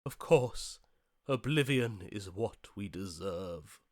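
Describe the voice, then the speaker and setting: Slow, melodramatic lovesick romantic hero. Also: male voice, lowish voice, expressive, a little mellow, no echo, studio quality. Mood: indignant, bitter. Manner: theatrical, florid, archaic declamation. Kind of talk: video game voice acting.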